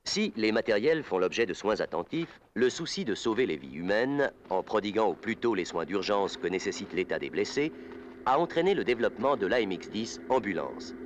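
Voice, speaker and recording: A man narrating an instructional film in a formal, scripted, measured documentary style. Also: on the low side, sing-song, slight background noise. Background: music.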